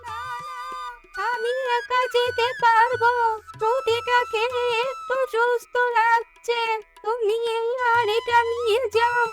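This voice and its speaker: A woman voicing a frail, poor old village woman in a cartoon, speaking slowly and gently. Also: very high-pitched, level tone. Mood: gentle. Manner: slow, pleading, gentle. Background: background music.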